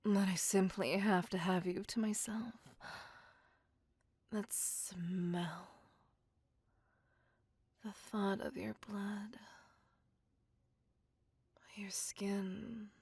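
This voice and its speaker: A woman voicing a refined, possessive vampire in an intimate ASMR roleplay, with a breathy whisper and formal, old-fashioned phrasing. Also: medium pitch, fairly even intonation, drawn-out words, pauses often, a little mellow, no echo, full character voice. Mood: hungry, restrained. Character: refined, possessive, smitten female vampire courting a human.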